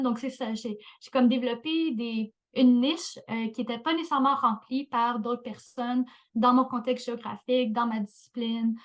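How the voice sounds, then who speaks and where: Woman, slightly high. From Canada. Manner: hesitant, many fillers, laughs lightly. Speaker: professor, panelist. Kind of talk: panel discussion.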